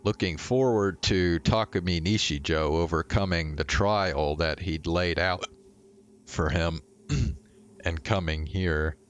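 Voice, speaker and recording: A man's voice: low-pitched, slow, slight background noise. A male streamer reading a visual novel aloud and talking to viewers as he goes. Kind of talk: live read-aloud narration of a visual novel.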